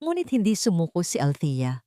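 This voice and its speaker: Lively, expressive Filipino woman narrating a drama. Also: lowish voice, no room sound, studio quality. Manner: measured, emotive storytelling. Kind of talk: dramatic scripted narration of a love story.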